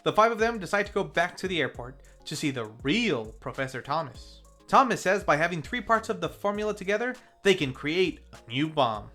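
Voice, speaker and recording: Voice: mid-range voice, sing-song, a little fast, few pauses, bright, forceful tone, soft background music. A film review host narrating a scripted recap in a casual, playful storytelling manner.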